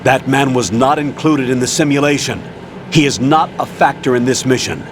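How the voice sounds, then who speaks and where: Commanding colonel played by a man, firm and businesslike mid-pitched male voice, game briefing over clear background noise. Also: moderate pace, close to an everyday voice. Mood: stern, firm. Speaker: commanding officer. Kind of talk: dramatic video game voice acting.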